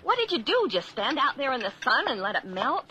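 An actress playing a brisk, exasperated mother who scolds and gives orders in a radio drama. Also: high voice, sing-song, bright, forceful tone, exaggerated voice. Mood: scolding. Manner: scolding, commanding.

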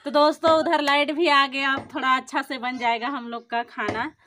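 A woman's voice: high-pitched, steady tone, moderate pace, pushed and bright, slight background noise. A woman in her thirties chatting to her viewers as she cooks in a village courtyard, filming herself on a phone held at arm's length. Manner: chatty, addresses viewers directly. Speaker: vlogger. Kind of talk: casual narration to camera. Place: village courtyard and home at night.

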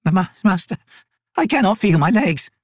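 A man voicing a fearful, fawning old male trader, pleading in a strained, high voice. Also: lively intonation, normal speed, fairly bright tone, husky, no echo, clean studio sound, exaggerated voice.

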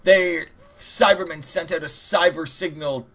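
A man's voice: fairly high pitch, fairly even intonation, a little slow, a bit bright. A man giving casual gameplay commentary as host, rambling and joking with plenty of swearing and mock-dramatic asides. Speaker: gaming video host. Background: game music.

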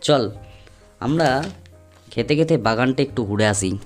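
A man acts out a young holidaymaker in an audio drama, chatty and teasing. His mid-pitched voice exclaims in everyday slang, with music faintly underneath. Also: unhurried, lightly acted. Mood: casual.

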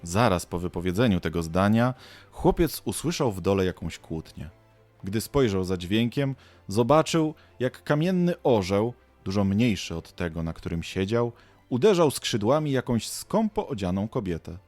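A man's voice: fairly low pitch, sing-song, normal speed, darkish tone, no room sound. A man narrating an audio drama as a storyteller, reading scripted prose. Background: faint music.

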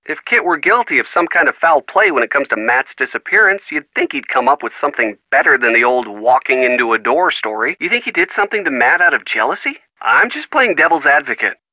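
A man's voice: fairly high pitch, lively intonation, a little fast, few pauses, no echo. A man playing a supportive young boyfriend in a video game, casual, wry and affectionate over a phone line. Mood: curious, skeptical. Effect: telephone filter. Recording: band-limited phone-line sound.

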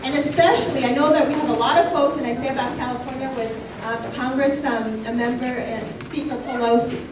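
A woman's voice: high voice, fairly even intonation, a little fast. Woman rallying a crowd in an echoey hall. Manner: rousing, calls out to the crowd. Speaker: rally speaker. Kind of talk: impassioned public speech. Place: large meeting hall, indoors. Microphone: handheld mic at podium, far camera. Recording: echoey hall, noisy, from far off.

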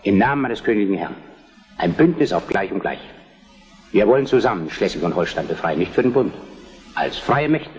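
A man's voice: on the low side, animated, a little fast, soft background music, lightly acted. An actor playing a shrewd, self-assured Prussian statesman with formal, courtly delivery in a historical radio play. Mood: persuasive. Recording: dull, muffled recording with long hall reverb.

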